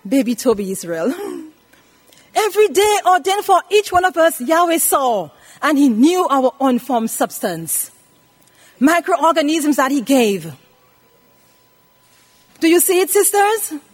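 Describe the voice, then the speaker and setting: A woman's voice: high voice, big pitch swings, takes it slowly, pauses often. A woman in her eighties preaching a scripture lesson, emphatically repeating phrases and putting questions to her listeners. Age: 80s. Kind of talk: sermon teaching from scripture.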